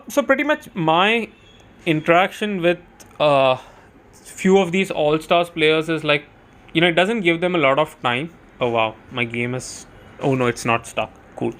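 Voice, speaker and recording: A man's voice: fairly high pitch, a bit bright. Casual male game streamer, chatty commentary. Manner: chatty, thinking aloud. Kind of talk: casual live gameplay commentary.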